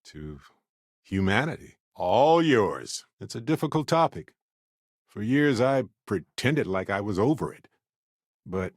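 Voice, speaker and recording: An actor playing an affable, wisecracking space explorer and scientist in a video game, speaking in a playful, wry, conversational way. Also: male voice, on the low side, no echo, studio quality, exaggerated voice. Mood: earnest, sober. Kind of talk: video game companion dialogue.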